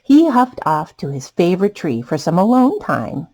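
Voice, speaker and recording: A woman's voice: fairly low pitch, normal speed. A woman hosting children's storytime, reading a picture book expressively and adding asides to young listeners. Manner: animated, addressing young listeners.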